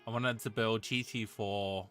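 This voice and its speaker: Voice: mid-range voice, fairly even intonation, measured pace, a bit bright. A streamer giving casual, giggly commentary while playing a game, with music playing behind. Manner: giggly, often laughing.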